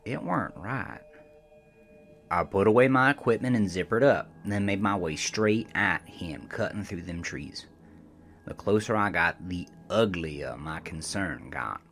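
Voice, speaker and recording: Man playing a folksy, animated low-voiced rural American trucker. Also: unhurried, soft background music, heavily acted. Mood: uneasy. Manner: folksy, profane, rambling storytelling. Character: gruff, cranky aging rural male trucker recounting horror. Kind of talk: first-person horror audio drama narration.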